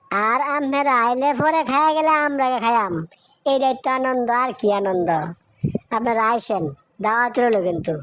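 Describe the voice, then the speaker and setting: Woman playing a chatty, high-voiced village housewife. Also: no room sound, close to an everyday voice. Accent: Bangladeshi regional Bengali. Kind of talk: cartoon moral story dialogue.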